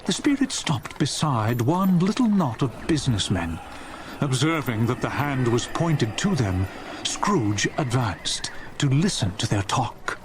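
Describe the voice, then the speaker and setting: A man narrating a dramatised classic story in an expressive, scripted audiobook style. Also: mid-range voice, measured pace, noisy background.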